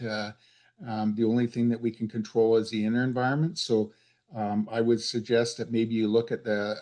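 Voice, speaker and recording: A man with an ordinary mid-pitched voice gives peer support. He coaches gently and hesitantly, feeling for his words as he goes. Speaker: participant acting as support-call helper. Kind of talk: unscripted role-played peer-support call.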